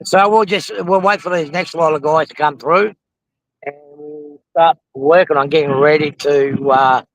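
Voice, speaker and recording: Hoarse Australian man rambling on-site. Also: a little high, fairly even intonation, unhurried, no echo, poor audio. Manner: rambling, frequent ums and restarts. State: losing his voice from the heat. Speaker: model railroading channel host. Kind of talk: casual live commentary. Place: outdoors at a roadside truck convoy.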